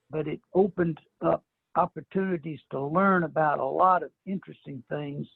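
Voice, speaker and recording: Older man, gravelly and husky, fond school memories, dry phone-line sound. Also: a little high, no room sound. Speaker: panel guest. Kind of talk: personal storytelling.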